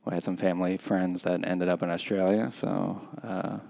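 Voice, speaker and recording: Very low-voiced gravelly man from Russia. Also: flat delivery, darkish tone. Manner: reflective, unhurried, hesitant with many pauses. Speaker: podcast guest, skydiving competitor. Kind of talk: casual interview conversation.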